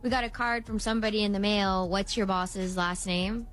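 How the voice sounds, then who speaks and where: A woman's voice: medium pitch, steady tone, normal speed, fairly bright tone, soft background music. A woman co-hosting a radio show, chatting casually and offering suggestions.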